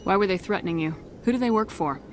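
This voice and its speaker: An actress playing a tough, blunt military commander in a video game, firm and no-nonsense. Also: female voice, mid-range voice, quick pace, slight background noise, natural voice. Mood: businesslike. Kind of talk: video game cutscene acting.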